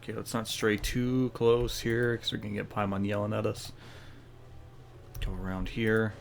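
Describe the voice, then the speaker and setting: A man's voice: on the low side, flat delivery, normal speed, gravelly, slight background noise. Laid-back man commenting over faint game sound. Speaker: game streamer. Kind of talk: casual playthrough commentary.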